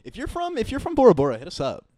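A man's voice: high-pitched, huge pitch swings, racing, darkish tone, no room sound, clean studio sound. A young man co-hosting a podcast in a laid-back, rambling, casual chat. He swears a lot and laughs easily. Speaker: podcast co-host.

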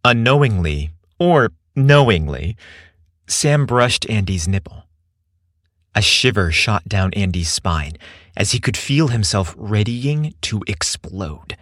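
A man's voice: fairly low pitch, sing-song, slow, a bit bright, dry recording, studio quality. A man narrating a scripted romantic story as a storyteller.

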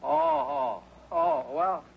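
An actor playing a flustered, eager, deferential fellow with a creaky voice in a 1960s radio drama. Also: male voice, very high voice, wildly swooping pitch, very slow, slight background noise, exaggerated voice. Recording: dull old radio recording.

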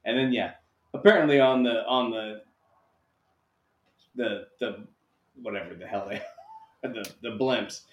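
A man's voice: fairly low pitch, measured pace, pauses often, muffled recording. A man co-hosting a podcast, chatting about a movie with wisecracks and frequent laughs. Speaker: podcast co-host. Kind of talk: casual movie chat. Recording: roomy echoey room.

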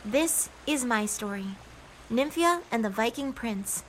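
A woman's voice: a little high, expressive, unhurried, a bit bright. A woman playing a gracious, theatrical mermaid guardian spirit, telling a scripted fairy tale to listeners as guests. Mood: gracious, welcoming. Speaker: storyteller. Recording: faint background noise and ambience.

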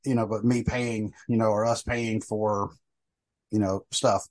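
A man's voice: fairly low pitch, flat delivery, measured pace, darkish tone. A middle-aged man hosting a casual podcast chat, rambling with many fillers and restarts. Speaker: podcast host.

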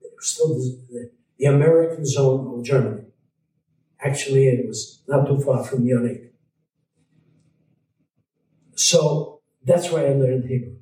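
An older man recalling his past in an interview, speaking haltingly with many restarts. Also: mid-range voice, mellow, very echoey, distant mic, poor audio. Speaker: guest, Holocaust survivor. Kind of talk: recorded interview, storytelling.

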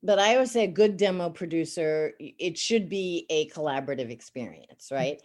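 Raspy, sarcastic woman voice actor. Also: low-pitched, a little fast. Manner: sarcastic, animated, chatty. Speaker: voice actor and teacher. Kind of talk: casual interview chat.

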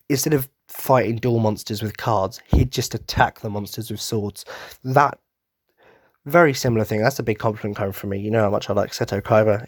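A man hosting a casual fan podcast, tired and losing his voice, husky, rambling with lots of ums and restarts. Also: fairly low pitch, no room sound, clean studio sound. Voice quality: husky, throat-clearing. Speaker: podcast host.

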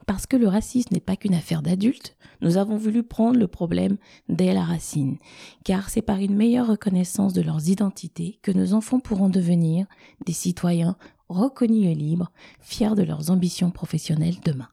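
Dark raspy-voiced woman reading an intro. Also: on the low side, no echo, studio quality. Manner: measured, earnest reading. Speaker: podcast co-host reading the introduction. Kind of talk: scripted narration.

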